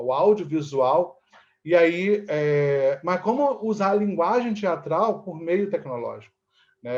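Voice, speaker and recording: A Brazilian man explaining in a conversational interview, haltingly searching for words and often adding checking tags. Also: a little high, darkish tone, highs cut off. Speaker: interviewee, theatre teacher and actor.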